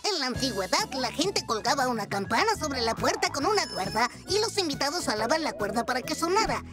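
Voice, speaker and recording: A woman's voice: high-pitched, big pitch swings, fairly quick. A woman voicing a thoughtful, cautious female fixie who explains things carefully to children. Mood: explaining. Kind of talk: educational cartoon explainer. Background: loud children's music.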